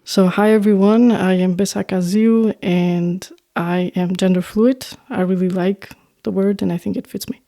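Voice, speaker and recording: A woman with an Albanian accent, a native Albanian speaker, talking as an interview guest in a thoughtful, halting, reflective way. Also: medium pitch, fairly even intonation, warm tone. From North Macedonia, Albanian family. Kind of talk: reflective casual conversation.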